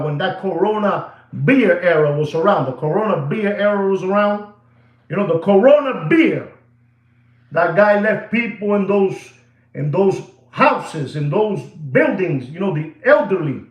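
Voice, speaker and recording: 60s ny guy ranting slow to camera, drops spanish bits, roomy echo. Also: high-pitched, highs cut off. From New York, USA. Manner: animated and rambling, mixes in Spanish phrases, addresses viewers as "papi". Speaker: watch dealer, show host. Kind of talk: casual rant to camera. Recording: echoey room.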